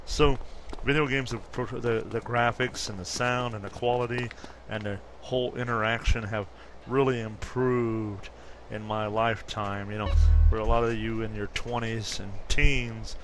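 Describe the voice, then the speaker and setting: A man's voice: medium pitch, steady tone, moderate pace, hardly any pauses, a bit bright, slight background noise. A man in his fifties casually chatting through gameplay commentary, rambling and correcting himself as he goes. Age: 50s. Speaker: gaming YouTuber.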